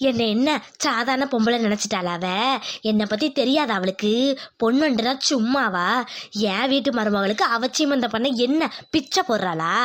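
A woman's voice: on the high side, natural voice. A woman voicing a hot-tempered, domineering village mother-in-law in a cartoon family drama, scolding and threatening.

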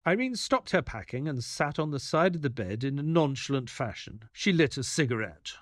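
An actor playing an earnest detective's sidekick who also narrates the story of an audio play expressively. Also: male voice, medium pitch, very animated, normal speed, no room sound, lightly acted. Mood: narrating.

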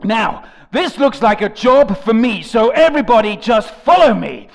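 A young man performs song lyrics as over-the-top drama, swinging between shouts and hushed low mutters. His voice sits high and carries a gravelly rasp. The pitch leaps all over from line to line. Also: very animated, moderate pace. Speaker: comedic dramatic reader of rap lyrics.

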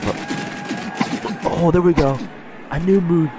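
A man with a stuffy voice from a cold, commentating his own video game play and getting excited on big plays. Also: on the high side, takes it slowly, background noise. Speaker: gaming channel host.

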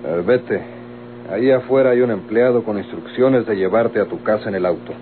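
A man's voice: fairly low pitch, moderate pace, natural voice. Man playing stern Mexican prosecutor, noisy old radio. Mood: curt, conciliatory. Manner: formal, authoritative legal phrasing. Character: stern, officious male public prosecutor. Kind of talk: Mexican radio soap opera acting. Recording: tinny old radio recording, clearly noisy.

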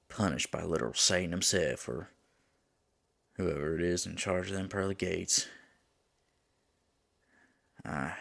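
A man playing a lovesick demon in an intimate ASMR roleplay, pleading tenderly with his angel lover in a gravelly, husky voice and folksy turns of phrase. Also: low-pitched, a bit bright, exaggerated voice. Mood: earnest, teasing, then pleading, devoted. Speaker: voice actor in an audio roleplay.

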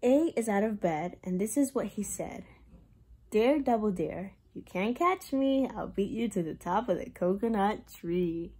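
Slow, breathy young woman reading to children. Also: medium pitch, expressive, dark tone. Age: young adult. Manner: sing-song, addresses young children, invites joining in. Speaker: Montessori preschool teacher. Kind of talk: animated read-aloud of a children's picture book.

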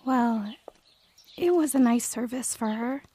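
High, slightly husky caring daughter. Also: female voice, a little slow, mellow. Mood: gently concerned. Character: caring, chatty grown daughter. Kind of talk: radio drama dialogue.

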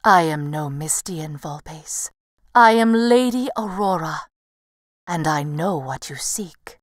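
A woman voicing a wise, radiant lady of the dawn in a storybook tale, gentle, measured and solemn. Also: low-pitched, animated, no room sound, studio quality, pitched below the performer's own voice. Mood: serene.

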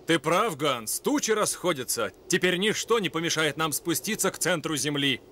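Gallant lion adventurer, courteous, decisive, lively pitch. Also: male voice, normal speed, a little hiss or hum. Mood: confident. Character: brave gentleman lion adventurer, leader. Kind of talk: dubbed cartoon acting.

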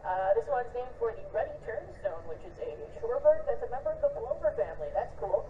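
Woman on a distant loudspeaker. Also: a little high, big pitch swings, a little fast, narrow, tinny audio. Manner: friendly, chatty with fillers. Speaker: ship announcer. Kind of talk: public address announcement. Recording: distant loudspeaker with faint noise.

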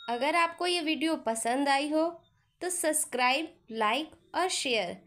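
A woman narrating a scripted moral story as a storyteller, with measured, deliberate phrasing. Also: high-pitched, animated, a bit bright. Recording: echoey, long reverb on the voice.

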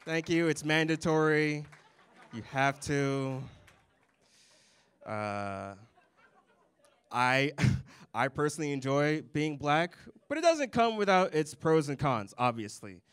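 A young man delivers a stand-up set into a handheld microphone in a comedy club. His voice sits a little high and comes through smooth and clear. The sound is close and dry, and he keeps his delivery deadpan. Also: takes it slowly, pauses often, no echo. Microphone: handheld mic.

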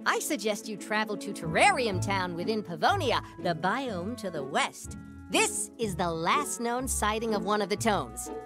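A man voicing a small, anxious, theatrical creature who keeps magic books in an animated game. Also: high voice, normal speed, over music. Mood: earnest. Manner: theatrical, flustered, grandiose. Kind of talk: animated game cutscene voice acting.

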